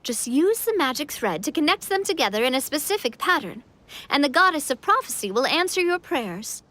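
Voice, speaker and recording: A woman's voice: high-pitched, big pitch swings, fairly quick, bright, forceful tone, full character voice. A woman voicing a cheerful, friendly young storybook king in a game. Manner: upbeat, friendly, reassuring. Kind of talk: game voice acting.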